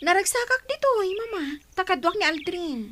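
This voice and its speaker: High, bright-eyed young woman, stubbornly in love. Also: lively intonation, fairly quick, background noise. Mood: happy, insistent. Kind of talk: Ilocano radio drama acting.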